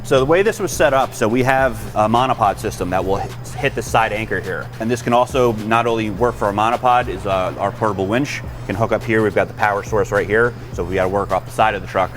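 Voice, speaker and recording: A man's voice: fairly low pitch, fast talker, few pauses, faint music behind. An American man in the role of rescue chief, giving an informal explanatory tour of equipment in practical, plain-spoken trade jargon.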